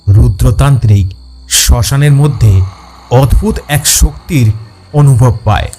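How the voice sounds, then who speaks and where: Man narrating ghost stories, mellow tone. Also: mid-range voice. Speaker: storyteller. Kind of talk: scripted ghost story narration.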